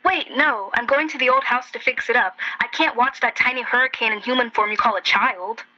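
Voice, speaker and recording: A woman plays a sarcastic, exasperated sister in a radio drama. Her voice is high and piercingly bright. The sound is a little lo-fi, as on a call. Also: fairly quick. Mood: sarcastic, reluctant. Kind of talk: radio play acting.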